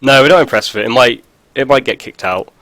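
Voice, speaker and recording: A man's voice: mid-range voice, quick pace, a bit bright, dry recording. Sniffly man chatting with a cold. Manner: rambling, filler-heavy, dry jokes. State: stuffy with a cold. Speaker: video host. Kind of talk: casual gameplay commentary.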